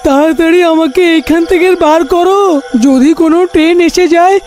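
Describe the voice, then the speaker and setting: A man voicing a terrified, trapped husband who urgently begs villagers for help in an animated horror tale. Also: very high-pitched, brisk, slight background noise. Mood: urgent pleading. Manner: pleading, urgent. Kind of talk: animated fairy-tale acting.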